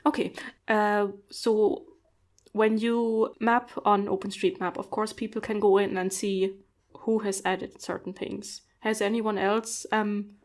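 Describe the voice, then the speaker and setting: A woman's voice: mid-range voice, flat delivery, a little slow. A woman, not a native speaker of English, chatting on a video call in a thoughtful, hesitant way with many fillers. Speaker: podcast host. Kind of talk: casual conversation.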